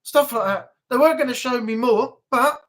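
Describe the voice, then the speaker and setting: Brief: woman streamer, easygoing chatty delivery, fairly high pitch. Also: moderate pace. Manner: chatty, rambling, with fillers. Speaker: livestream host. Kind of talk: casual live chat to viewers.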